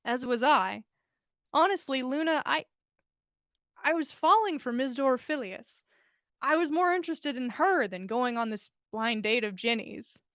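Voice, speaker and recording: Storybook role, read by a woman: modest, awkward young man, sincere and halting, high-pitched, dry recording. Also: expressive, fairly bright tone, dry recording. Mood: shyly smitten. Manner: hesitant, earnest. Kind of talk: fan fiction story reading.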